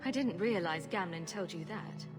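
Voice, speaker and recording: A woman plays a guarded young mage from a video game, low and even in pitch. She questions in a measured, businesslike way, in long reverb with music behind her. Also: close to an everyday voice. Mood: wary. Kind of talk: video game dialogue acting.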